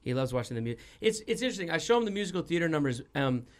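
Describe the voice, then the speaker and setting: A man's voice: fairly high pitch, big pitch swings, racing. A young man hosting a casual studio interview, rambling, with frequent "like" and restarts. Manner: rambling, heavy use of "like", restarts. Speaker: podcast host. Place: radio studio.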